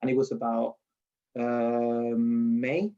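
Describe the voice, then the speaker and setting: A man's voice: medium pitch, monotone, slow, warm tone, smooth, dull audio, soft background music. A man from Spain with a strong Spanish accent, chatting in an interview and telling stories with restarts and laughs. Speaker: lecturer being interviewed. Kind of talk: casual interview.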